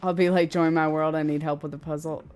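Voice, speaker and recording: A woman's voice: low voice, flat delivery, fast talker, a little mellow, smooth. A woman game streamer chatting casually as she plays, rambling and thinking aloud. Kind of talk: casual livestream gameplay chat. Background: game music.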